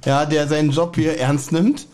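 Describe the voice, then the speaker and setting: A man's voice: on the high side, steady tone, moderate pace, darkish tone, slight background noise. A man co-hosting a podcast in casual banter with friends. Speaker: podcast co-host.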